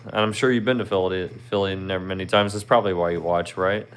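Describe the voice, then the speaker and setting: A man in his thirties with a Philadelphia accent, hosting a casual livestream. His voice sits low and comes across smooth and resonant. He rambles in a friendly way, filling gaps with "you know". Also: fairly even intonation, fast talker. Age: 30s. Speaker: livestream host. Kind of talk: casual livestream chat with viewers.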